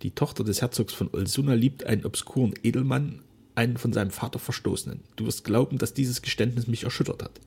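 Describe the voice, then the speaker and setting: A man's voice: medium pitch, creaky, darkish tone, husky, voice pushed up. A man voicing a dying remorseful old duke. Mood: grave. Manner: solemn, grave and measured.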